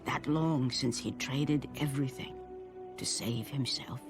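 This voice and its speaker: A man's voice: medium pitch, measured pace, lightly acted. A man acting as a solemn, portentous narrator of an ancient Greek tragedy in a video game. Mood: solemn, dramatic. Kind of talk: dramatic scripted narration of a mythic tale. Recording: orchestral music behind.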